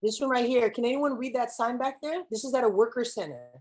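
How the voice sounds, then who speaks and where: A woman's voice: a little high, quick pace, a little mellow. A young woman giving a keynote speech to students, switching between English and Spanish and asking the audience questions. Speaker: keynote speaker. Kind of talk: speech to students with spoken-word poem.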